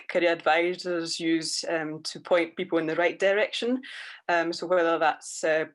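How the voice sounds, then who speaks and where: A young woman in her twenties answering interview questions softly, with many ums. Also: sits low. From Scotland, raised in France. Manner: soft-spoken, many fillers. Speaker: interviewee, youth worker. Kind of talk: conversational interview.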